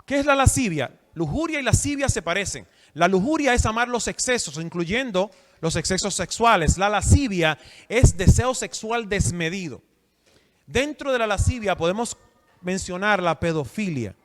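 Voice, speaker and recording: A man's voice: high-pitched, animated, moderate pace, fairly bright tone. A man from the Republic, preaching a sermon and putting questions to his listeners. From Dominican Republic. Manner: asks the audience questions, emphatic. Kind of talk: sermon teaching. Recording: long hall reverb.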